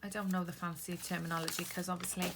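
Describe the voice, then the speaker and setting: A woman with a Welsh accent talks in a low, easygoing voice, rambling as she walks through her craft project. Paper crinkles and rustles loudly near the mic the whole time. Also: level tone, fast talker. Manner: rambling, chatty, talks while handling papers. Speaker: craft vlogger. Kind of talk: casual chatty crafting tutorial. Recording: paper crinkling noise close by.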